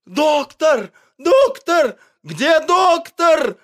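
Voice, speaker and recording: A man voicing a crowd of frightened animals in a storybook reading, shrill and urgent. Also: big pitch swings, drawn-out words, a bit bright, exaggerated voice. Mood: panicked. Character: anxious crowd of animals, pleading and frantic.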